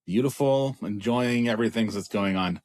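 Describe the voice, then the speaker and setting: A man's voice: fairly low pitch, normal speed, a little mellow. A man in his thirties with an Israeli accent, talking casually as a startup founder in an interview, rambling and self-deprecating with frequent restarts. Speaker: startup CEO guest.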